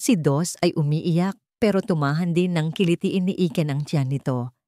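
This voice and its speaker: Low-pitched Filipino woman narrating a romance. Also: normal speed, warm tone. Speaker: storyteller. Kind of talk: scripted dramatic narration of a romance story.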